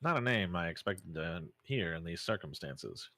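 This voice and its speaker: Man voicing a theatrical gentleman detective, swooping delivery. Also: low voice, sing-song, moderate pace, exaggerated voice. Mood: intrigued, grandiose. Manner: formal, elaborate phrasing. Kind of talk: amateur fan dub of a visual novel game.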